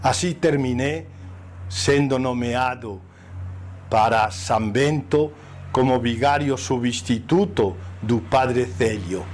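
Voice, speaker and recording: Older man, Spanish-accented priest, very slow and hesitant, faint music behind. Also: medium pitch. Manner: slow, hesitant, with many pauses and fillers. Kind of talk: interview recollection.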